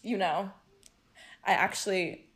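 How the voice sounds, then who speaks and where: A young woman from Hong Kong chats with friends, speaking her mind in an animated, expressive way. She laughs easily and talks with conviction. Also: medium pitch, takes it slowly. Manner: animated, outspoken, laughs often. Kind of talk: casual group chat.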